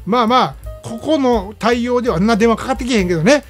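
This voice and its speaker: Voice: mid-range voice, fast talker. A radio host with a Kansai Japanese accent, chatting casually through a movie review in an explanatory, conversational way. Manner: conversational, explanatory, frequent "ne" tags. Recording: music playing behind the voice. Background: background music.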